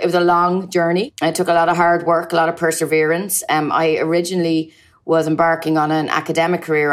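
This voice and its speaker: A woman in her forties from Ireland with an Irish accent, a journalist answering interview questions fluently, with frequent ums and uhs. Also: low-pitched. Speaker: journalist guest.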